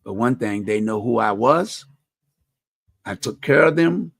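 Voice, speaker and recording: A middle-aged man with a deep voice, hosting a community radio talk show and speaking earnestly about faith and helping others, often restarting phrases. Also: expressive, a little slow, vocal fry, no room sound, clean studio sound. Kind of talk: reflective personal talk.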